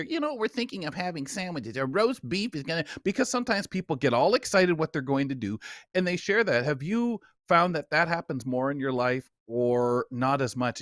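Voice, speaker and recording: A man hosts a conversational radio show. His voice sits a little high and is recorded close and dry. He rambles in a folksy, emphatic way. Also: bright, forceful tone, dry recording.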